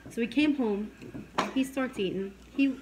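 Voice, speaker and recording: A woman's voice: a little high, a little slow, noisy background. A woman in her forties co-hosting a casual late-night livestream, telling stories in a chatty way, laughing often and filling her talk with "like", at a dinner table at home. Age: 40s. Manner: chatty storyteller, laughs often, says "like" a lot. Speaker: co-host of a livestream show.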